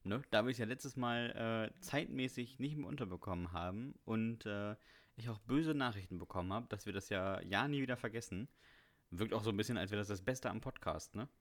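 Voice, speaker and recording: Podcast co-host, man, lower voice, easygoing and halting. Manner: relaxed, hesitant, with frequent fillers. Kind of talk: casual podcast chat.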